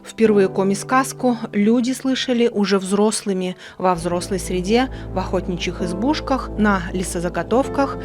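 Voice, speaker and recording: A woman's voice: mid-range voice, moderate pace, hardly any pauses, over music. A woman reading scripted documentary narration as the narrator of a television programme.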